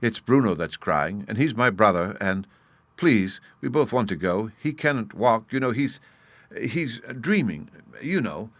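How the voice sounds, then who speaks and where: A man reading aloud, voicing a bright, earnest little fairy girl in a storybook. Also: fairly low pitch, big pitch swings, unhurried, no echo. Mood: lively.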